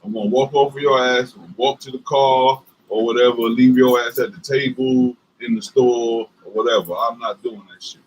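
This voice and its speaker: A man with a deep voice and a Southern US accent, co-hosting a couples livestream and talking bluntly with lots of swearing and fillers. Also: fairly even intonation, moderate pace, hardly any pauses, a little hiss or hum, rough recording. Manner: profane and emphatic, many fillers. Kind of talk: casual livestream chat.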